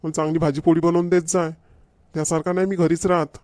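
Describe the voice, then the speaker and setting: Man acting a high-pitched nagging Varhadi Marathi husband. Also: flat delivery, quick pace, a little mellow, no room sound, clean studio sound, close to an everyday voice. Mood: indignant, bossy. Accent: Varhadi Marathi from Vidarbha. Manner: nagging, complaining, repetitive. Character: grumbling, demanding village husband, fussy about food. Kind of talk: comedy cartoon dialogue.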